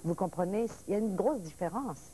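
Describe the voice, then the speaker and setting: A woman in her sixties born in Paris, a lively talk-show guest whose French mixes Parisian and Quebec speech, telling stories with restarts. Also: mid-range voice, brisk, a little hiss or hum, rough recording. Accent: mixed Parisian and Quebec French. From France. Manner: lively storyteller, restarts and laughs, points her words. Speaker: actress guest. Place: TV studio set, seated at table.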